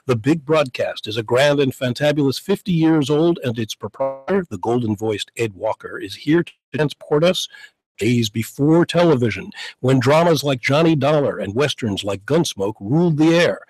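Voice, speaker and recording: A man's voice: mid-range voice, lively intonation, moderate pace, darkish tone, low-quality recording. A middle-aged man hosting a radio interview show in a studio, with a polished broadcast delivery. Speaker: guest radio host. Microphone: studio microphone, headphones.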